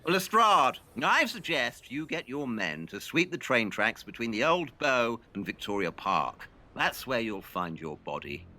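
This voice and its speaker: A man's voice: mid-range voice, very animated, measured pace, few pauses, sharp tone, full character voice. A man acting as an arrogant, impatient Victorian detective in an audio drama, brusque and condescending. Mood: brisk, deductive.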